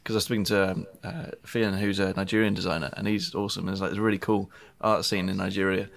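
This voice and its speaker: A man from Britain hosting a podcast, talking quickly in a low, gravelly voice. He chats casually and rambles, filling gaps with ums and poking fun at himself. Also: steady tone. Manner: chatty, rambling, self-deprecating, with frequent fillers. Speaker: podcast host. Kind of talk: casual chat.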